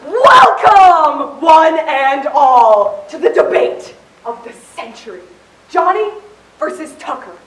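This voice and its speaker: A bossy, theatrical young woman played by a stage actress moderates a mock debate with grandiose emphasis. Her high, swooping voice rings through an echoey hall in this amateur play. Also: very animated, slow, fairly bright tone, full character voice. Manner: grandiose announcer delivery, dramatic emphasis. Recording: echoey hall, distant mic, hissy.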